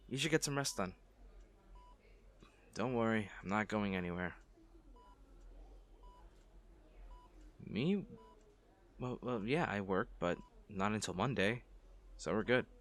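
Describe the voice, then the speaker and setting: A man acts out a kind male stranger with a lowish voice. He talks gently and playfully, as in an intimate audio roleplay. He gets bashful and hesitant, as if caring for someone hurt. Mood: gentle, reassuring. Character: kind, bashful male stranger comforting an injured crash survivor.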